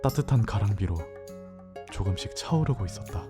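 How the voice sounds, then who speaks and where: A man acting in an audio drama as a hesitant, introspective newcomer, narrating in a soft, reflective way. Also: fairly low pitch, animated, a little fast, over music, close to an everyday voice.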